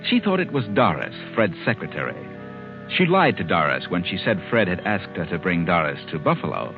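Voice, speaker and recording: An actor playing a sinister, all-knowing storyteller who narrates an old radio mystery in an ominous, sardonic manner. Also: male voice, deep voice, sing-song, fairly quick, phone-quality sound. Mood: ominous, gloating. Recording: old 1940s radio recording with music.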